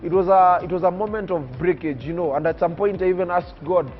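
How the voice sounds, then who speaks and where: Casting: 19-year-old man from Kenya, high voice, preacher-like cadence, dull TV sound with faint music. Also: fairly quick. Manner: oratorical, emphatic, preacher-like cadence. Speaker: young public speaker interviewed on TV. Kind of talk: earnest personal storytelling.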